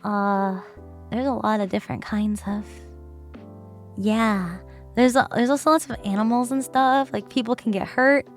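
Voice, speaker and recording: A woman's voice: fairly even intonation, soft background music. Giggly streamer woman with a worn voice. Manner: giggly, laughs often, deliberately lowered voice; chatty, rambling with fillers. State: voice worn from a bad cough. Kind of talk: casual livestream chat.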